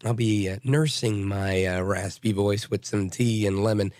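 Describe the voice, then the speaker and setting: Hoarse, raspy male talk radio host. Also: on the low side, fairly even intonation, normal speed, darkish tone, no echo, studio quality. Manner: blunt, combative, rambling with restarts. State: hoarse from bronchitis. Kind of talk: opinionated talk radio monologue.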